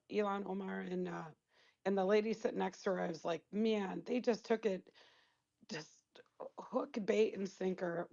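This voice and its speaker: A woman's voice: mid-range voice, darkish tone, dull audio. A woman from Wisconsin calling into a live talk show, losing her voice, blunt and rambling. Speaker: caller.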